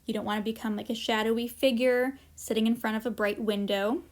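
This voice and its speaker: A woman's voice: on the high side, steady tone, a little fast. A woman presenting an informal instructional workshop, explaining practical tips in a conversational way with casual asides.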